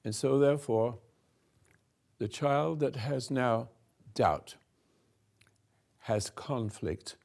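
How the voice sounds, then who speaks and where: Older guy, gravelly voice, real slow spiritual talk, stops and restarts a lot. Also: medium pitch. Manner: halting, repeats and restarts words, swings from soft to loud. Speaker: spiritual teacher hosting a talk.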